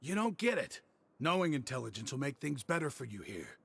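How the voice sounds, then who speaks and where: A man voicing a burly, self-important prison gossip in a video game, gravelly, boastful and conspiratorial. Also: mid-range voice, big pitch swings, fairly quick, a bit bright, slight background noise.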